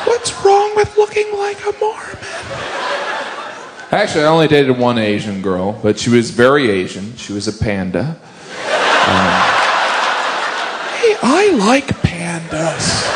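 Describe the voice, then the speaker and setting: A man doing a stand-up set to a live crowd, deadpan in manner. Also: a little high. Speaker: stand-up comedian. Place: comedy club stage. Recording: loud crowd and noisy club. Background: crowd laughing.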